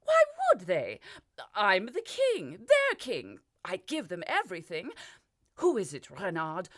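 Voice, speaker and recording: A woman voicing a petulant, childish young king who breaks into shrill, panicky outbursts. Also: wildly swooping pitch, slow pace, a bit bright, full character voice. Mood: hysterical. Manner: shrill, whiny, hysterical outbursts.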